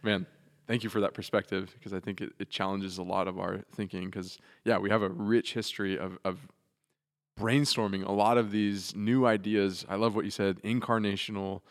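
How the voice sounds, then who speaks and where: A man's voice: on the low side, little pitch movement, normal speed, in a large hall. A man co-hosting a church podcast, asking thoughtful, rambling questions with restarts. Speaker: podcast co-host. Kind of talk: casual podcast discussion.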